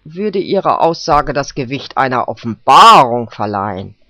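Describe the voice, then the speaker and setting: A narrator voicing a smug male kangaroo judge who hands down loud, pompous rulings. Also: medium pitch, sing-song, normal speed, no echo. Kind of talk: storybook character voice. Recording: dull, dry recording.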